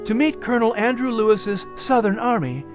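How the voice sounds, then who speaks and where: A man's voice: very high voice, lively intonation, fairly quick, soft background music, pitched above the performer's own voice. A man voicing a grave, determined young woman disguised as a militia soldier. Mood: resolute. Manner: earnest, solemn.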